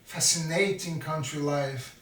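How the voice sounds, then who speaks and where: Slow self-mocking man in his fifties, set back from the mic in a roomy space. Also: on the high side, a bit bright. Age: 50s. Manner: slow, self-deprecating, jokey asides to himself. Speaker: vlogger. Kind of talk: rambling self-mocking monologue. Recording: roomy, from a distance.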